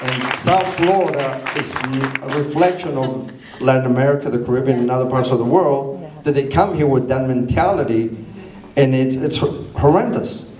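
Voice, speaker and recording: A man's voice: medium pitch, moderate pace, crowd noise, noisy background. Blunt man, far off in an echoey hall. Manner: blunt, emphatic. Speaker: chamber executive. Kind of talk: panel talk. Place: conference hall.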